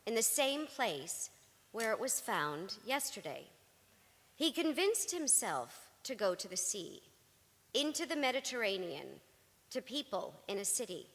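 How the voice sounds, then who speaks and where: A woman's voice: medium pitch, pushed and bright. A woman performing dramatic spoken word, with emphatic, rhetorical delivery. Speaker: reader in a staged ensemble performance. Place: large synagogue hall, live performance. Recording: reverberant hall.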